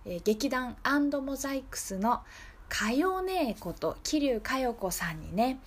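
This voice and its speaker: A woman hosting a radio show, talking casually and reflectively in a gentle, polite, thoughtful way. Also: high-pitched, sing-song, unhurried. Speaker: radio show host and singer-songwriter.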